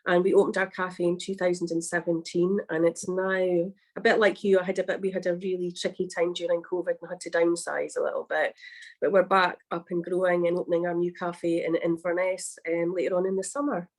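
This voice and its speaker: A woman's voice: on the low side, flat delivery, fairly quick. A Scottish woman, a cookbook author and baker, chatting on a video call with frequent laughs. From Scotland. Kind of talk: casual chat on a livestream.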